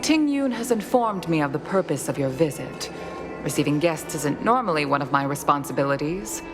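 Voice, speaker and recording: An actress voicing a stern, formal middle-aged female commander in a video game, speaking with measured firmness. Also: sits low, lively intonation. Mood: formal, firm. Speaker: guild leader in a sci-fi fantasy game. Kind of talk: scripted video game dialogue. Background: background music.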